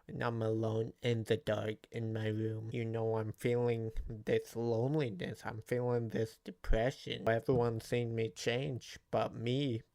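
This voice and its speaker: A man's voice: medium pitch, little pitch movement, a little slow, vocal fry, hardly any pauses, darkish tone, dry recording. A man giving casual, off-the-cuff commentary on music as a reaction host, rambling and loosely worded with casual swearing. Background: music playing.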